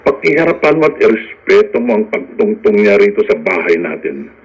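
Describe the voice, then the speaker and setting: A middle-aged father in a radio drama, with a rough, husky voice. He scolds insistently, his pitch swinging widely from line to line. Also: sing-song, brisk, full character voice, poor audio. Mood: stern, insistent. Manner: insistent, admonishing. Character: stern, reproachful Filipino father of a grown daughter.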